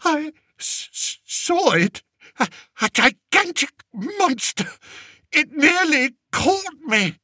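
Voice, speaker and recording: A man voicing a cowardly, pompous king who wails and stammers in a high, shrill voice. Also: big pitch swings, takes it slowly, pushed and bright, dry recording, clean studio sound, heavily acted. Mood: shaken. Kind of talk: audiobook character voice.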